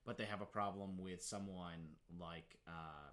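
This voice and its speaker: A young American man co-hosting a podcast, his voice sitting on the low side. He rambles loosely and swears a lot. He laughs often as he talks. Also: fairly even intonation, measured pace, a bit bright. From United States. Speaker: podcast co-host. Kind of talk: casual chat.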